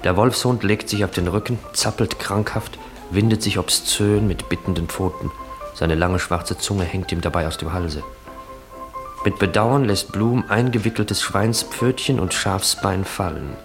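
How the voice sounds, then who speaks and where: A man narrating scenes in a German literary radio drama in a measured, matter-of-fact way. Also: sits low, over music. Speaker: narrator of stage directions.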